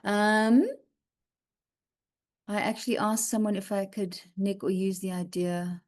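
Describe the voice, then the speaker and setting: A woman hosts a live writing feedback session over a video call, with a voice of middling pitch. She talks casually and reassuringly, reads out comments, and keeps checking in with "okay?". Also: fairly even intonation, unhurried, a little mellow. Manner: chatty, reassuring, frequent "um" and "okay?" check-ins. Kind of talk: casual live chat reading and answering comments.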